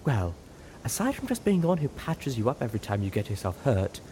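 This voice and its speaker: A man voicing a refined female unicorn with a prim, measured and cutting way of talking, in an audiobook. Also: mid-range voice, huge pitch swings, mellow, a little hiss or hum, voice pushed up. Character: refined female unicorn, sharp-tongued then kind.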